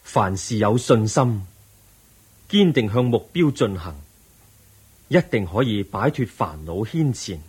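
A compassionate, firm Buddha in a radio play. He teaches solemnly and very slowly in a Hong Kong Cantonese accent, his tone swinging expressively through a heavily husky voice. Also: on the low side, animated, darkish tone, exaggerated voice. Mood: solemn encouragement. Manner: measured, solemn, instructive. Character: serene, wise male Buddha, compassionate and firm. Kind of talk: Cantonese radio drama acting.

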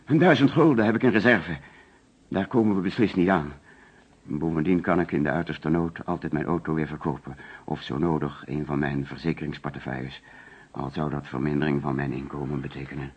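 A man's voice: rumbling low, brisk, breathy edge. An actor playing an anxious, defensive insurance agent under suspicion in a radio play, hesitant and flustered as he protests. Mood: indignant, defensive. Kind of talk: Dutch radio play acting. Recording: dull, lo-fi old radio recording.

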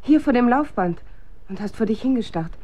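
An actress in a German radio drama playing an anxious, sceptical wife who speaks in a questioning, hesitant way. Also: female voice, a little high, animated, moderate pace, mellow. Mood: shaken, anxious.

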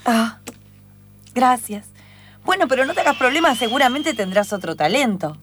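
Una mujer con acento argentino que interpreta a una sanadora amable y tranquilizadora en un radioteatro improvisado. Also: female voice, a little high, expressive, moderate pace, a bit bright, a little hiss or hum. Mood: gracious. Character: kindly, unhurried woman singing healer.

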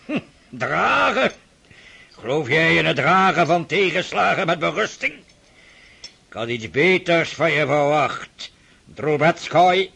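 Old tyrant prince, high, gravelly, husky, ranting, radio play. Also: male voice, expressive, slow, heavily acted. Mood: lecturing. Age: older. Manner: rants and shouts, suspicious. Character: irascible old male Russian prince, domineering.

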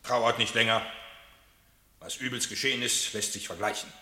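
A man's voice: mid-range voice, fairly even intonation, normal speed, pushed and bright. An actor playing a confident leopard courtier, emphatic as he gives counsel, in a radio drama recorded with long reverb. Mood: urging, resolute. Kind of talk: German radio drama acting. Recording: echoey hall with long reverb.

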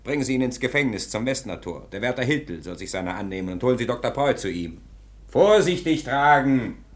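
An actor playing a gruff, impatient nineteenth-century police sergeant in a radio drama, brusque and commanding. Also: male voice, mid-range voice, animated, a little fast, natural voice. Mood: official, puzzled. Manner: brusque, commanding, officially clipped. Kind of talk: German radio drama acting.

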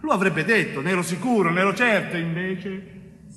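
An actor playing a young, wrongly accused man in a stage monologue, pleading desperately and loudly in agitated, piled-up clauses. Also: high voice, moderate pace. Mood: desperate, indignant. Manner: agitated, insistent, loud, breathless piling up of clauses. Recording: roomy stage recording, distant mic, faint noise.

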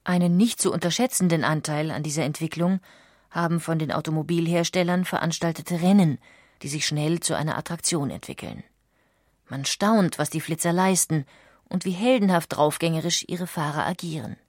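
A woman's voice: deep voice, normal speed, a little mellow, dry recording, studio quality. A woman reading scripted narration in the manner of a documentary audiobook.

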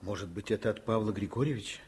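An actor playing a kindly, stubborn middle-aged doctor and father, husky and courteous, in a radio drama. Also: fairly low pitch, quick pace. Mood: calm, concerned. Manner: gentle, repeats words, courteous. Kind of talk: Soviet radio drama acting.

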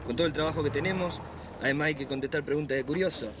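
An actor playing a gruff, impatient furniture mover in a radio drama. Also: male voice, on the high side, rapid-fire, over music, natural voice. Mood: irritated. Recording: noisy street scene behind.

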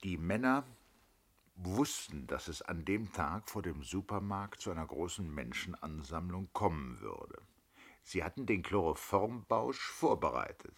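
An actor playing a methodical defence lawyer in a 1970s German radio play, questioning insistently with deliberate, pointed pauses. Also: male voice, sits low, big pitch swings, husky, heavily acted. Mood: probing.

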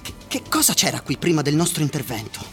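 An actor playing a bewildered, stubborn train passenger who speaks in a halting, insistent way in a radio drama. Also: male voice, a little high, sing-song, fairly bright tone. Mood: agitated, insistent. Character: agitated, bewildered male passenger, stubbornly questioning a closed world. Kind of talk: theatrical radio drama acting. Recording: voice over faint music.

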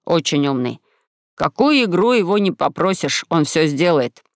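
A woman voicing a boy's excitable, protective friend in a storybook, blustery and emphatic, drawing words out. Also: low-pitched, moderate pace, no echo, clean studio sound. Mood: impressed, explaining.

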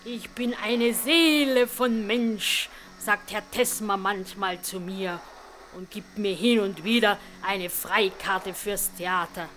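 A woman's voice: mid-range voice, steady tone, takes it slowly, a bit bright, slight background noise. An actress playing a grumbling, good-hearted cleaning woman who gossips in a folksy, self-satisfied way in a radio drama. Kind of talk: German radio drama monologue.

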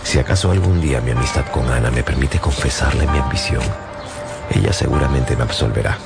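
An actor playing a proud, ambitious, brooding priest in a radio drama. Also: male voice, very deep voice, brisk, creaky, loud music behind the voice. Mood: brooding ambition.

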